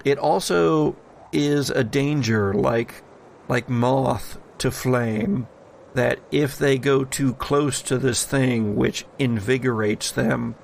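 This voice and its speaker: A male game master narrates a tabletop horror game at a slow, measured pace. His voice has a marked creak and he leaves deliberate, dramatic pauses. There is faint noise behind him. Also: medium pitch.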